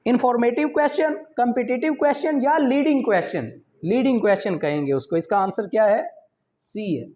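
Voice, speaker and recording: Pakistani-accented male law tutor, high voice, unhurried reading of exam questions, slightly dull lo-fi sound. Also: darkish tone. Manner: reads English questions slowly, explains in Urdu. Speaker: law teacher. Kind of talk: exam prep lecture, reading questions aloud then explaining.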